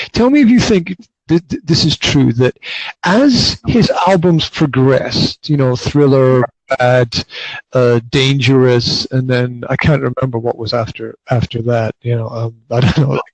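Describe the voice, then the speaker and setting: A man's voice: medium pitch, unhurried, creaky, no room sound, low-quality recording. A man in his forties hosting a casual interview, talking in bursts with many repeated words and restarts. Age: 40s. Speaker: podcast host.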